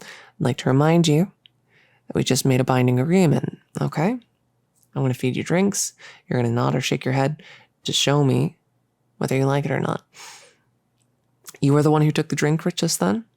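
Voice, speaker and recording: A performer voicing a bossy yet gentle cultist experimenter in a roleplay audio drama, with a creaky voice and a coaxing, hesitant, rambling manner. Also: medium pitch, moderate pace, long pauses, no echo, studio quality, natural voice. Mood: firm, smug.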